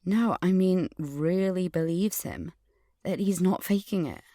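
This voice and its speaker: A woman's voice: lowish voice, unhurried, dark tone, dry recording, studio quality, close to an everyday voice. A woman playing an angry, suspicious teenage schoolgirl in an audio drama, earnestly narrating her memories and visions and speaking in dialogue. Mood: insistent, hushed.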